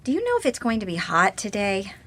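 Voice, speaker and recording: A woman's voice: mid-range voice, wildly swooping pitch, brisk, fairly bright tone. An actress playing a spoiled, impatient nineteen-year-old college girl in a radio drama, petulant and pushy.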